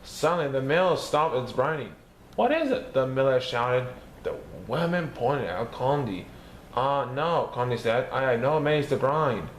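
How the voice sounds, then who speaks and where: A man reading a children's picture book aloud, lifting his voice high for the characters' lines. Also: a little slow. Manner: lively, raising his pitch for characters' lines. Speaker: storybook reader. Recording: echoey room with faint background noise.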